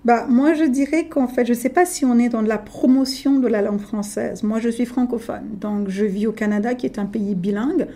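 Woman, middle-aged, native French speaker, fairly high voice, mellow tone, emphatic and direct. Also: fairly quick, few pauses. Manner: emphatic, animated, addresses listener directly. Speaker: guest, contest organizer. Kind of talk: casual interview talk.

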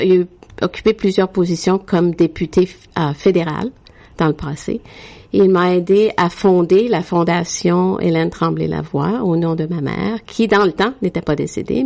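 Unhurried Québécoise woman with gravelly tone. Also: on the low side, a little mellow. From Quebec. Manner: frequent hesitations with "euh", unhurried. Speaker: guest. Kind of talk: interview, telling her life story.

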